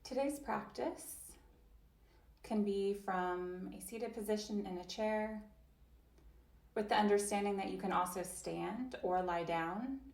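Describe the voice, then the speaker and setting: A woman voicing an interpreted guided chair yoga session, speaking slowly and gently, with long pauses and soft invitations. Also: medium pitch, creaky, roomy sound.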